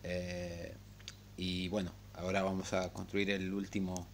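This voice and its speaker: Low-voiced man, halting game commentary. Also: flat delivery. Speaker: gaming tutorial host. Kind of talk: casual unscripted game tutorial commentary. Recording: clear background noise and hum.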